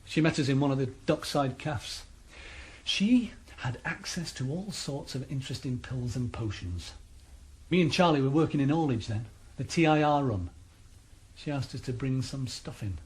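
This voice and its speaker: Male actor as a calm, threatening crime boss; understated radio-play menace. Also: medium pitch, normal speed, lightly acted. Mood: menacing. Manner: menacing, matter-of-fact. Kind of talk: radio drama acting.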